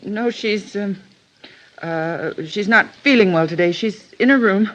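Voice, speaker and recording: An actress playing an anxious, guarded middle-aged lunchroom keeper in an old radio drama, with a halting delivery. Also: female voice, mid-range voice, fairly bright tone, close to an everyday voice. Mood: uneasy, evasive. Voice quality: halting, stammering when nervous. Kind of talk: 1950s radio drama acting. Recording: muffled, noisy old radio recording.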